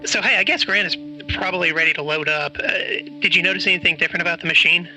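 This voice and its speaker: A man's voice: sits high, fairly even intonation, fast, sharp tone, faint music behind, full character voice, low-quality recording. A man playing an anxious, hesitant character in an audio drama, speaking in an informal, earnest way. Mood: anxious, hurried. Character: anxious, hesitant man chasing a conspiracy mystery. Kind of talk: naturalistic audio drama dialogue and first-person narration.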